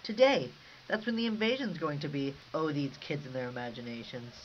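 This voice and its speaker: A man's voice: a little high, brisk, lightly acted. A man playing a chatty, breezy housewife friend with a gossipy manner, in 1950s radio drama style. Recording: dull lo-fi radio recording, faint hiss.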